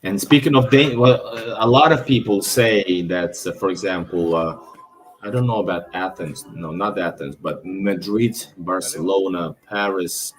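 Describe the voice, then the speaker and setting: Russian-accented male vlogger, very low voice, loose rambling delivery with frequent restarts. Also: moderate pace. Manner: rambling, with restarts and self-corrections. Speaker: travel vlogger. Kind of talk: casual talk over his own video footage.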